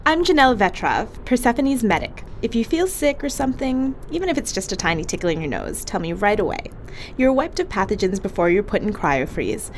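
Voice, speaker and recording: A woman's voice: medium pitch, slight background noise. A woman playing a caring, wry spaceship medic in an audio drama, chatty, informal and reassuring. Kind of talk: naturalistic audio drama acting.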